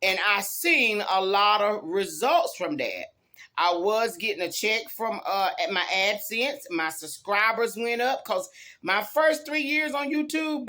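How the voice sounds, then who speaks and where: A woman's voice: medium pitch, a bit bright. A tired woman gives a casual, emphatic pep talk to viewers, folksy and fond of repeating her phrases. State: tired after work. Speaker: YouTube vlogger.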